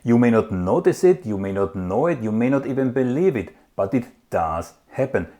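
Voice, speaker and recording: A man's voice: mid-range voice, lively intonation, normal speed, mellow. A man hosting a political interview, making an earnest, emphatic appeal to his viewers before questioning his guest. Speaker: interview host. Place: in the Netherlands.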